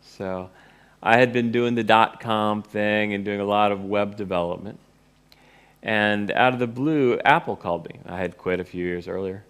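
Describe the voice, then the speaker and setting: A man's voice: fairly low pitch, moderate pace, a bit bright, smooth. A middle-aged man telling a personal story informally to an audience, with a wry way of speaking. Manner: wry, acts out remembered dialogue. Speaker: presenter, course teacher and author.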